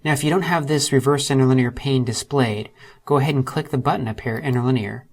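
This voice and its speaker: A man narrating a screen-recorded software tutorial. He walks through each step methodically and slows down to spell out letters and terms. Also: medium pitch.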